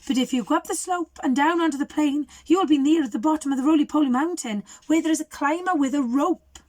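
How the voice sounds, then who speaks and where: A woman voicing a helpful young girl in a children's storybook, bright and apologetic. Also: sits high, fast talker, voice pushed up, natural voice.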